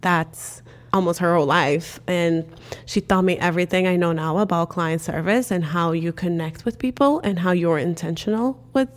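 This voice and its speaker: A woman's voice: on the low side, moderate pace. Woman telling her story. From Cape Verde. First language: Cape Verdean Creole. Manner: animated storytelling, frequent "like" fillers. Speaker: guest. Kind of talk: casual interview chat.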